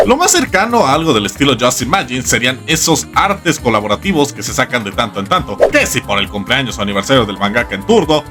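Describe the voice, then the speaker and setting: Male video essay host, wry and theatrical, very lively intonation, faint music underneath. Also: medium pitch. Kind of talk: scripted comedic video essay narration. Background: background music.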